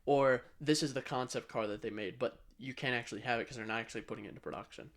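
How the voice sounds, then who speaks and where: A man's voice: mid-range voice, fast talker, fairly bright tone. Guy on a podcast, mid voice, just rambling about tech, blunt and swears a bit. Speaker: podcast co-host. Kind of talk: casual tech chat.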